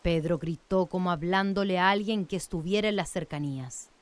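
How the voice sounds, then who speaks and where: A woman narrating a scripted radio drama in a measured, literary storytelling manner. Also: on the low side, background noise.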